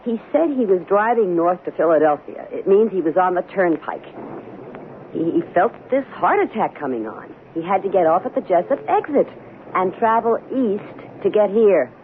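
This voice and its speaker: An actress playing a scheming, coolly commanding wife in radio drama, with a brisk, insistent, persuasive manner. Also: female voice, mid-range voice, animated, close to an everyday voice. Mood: urgent, commanding. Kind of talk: 1970s radio drama acting. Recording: tinny, noisy old radio broadcast.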